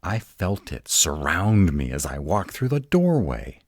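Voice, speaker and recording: Casting: male actor for an uptight, protective male were-dragon in roleplay audio; slow, halting, widely swinging intonation. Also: sits low, very animated, darkish tone, slightly rough, no room sound, studio quality, heavily acted. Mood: agitated, incredulous. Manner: halting, dramatic, slow delivery with emphatic outbursts. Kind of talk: intimate roleplay audio drama.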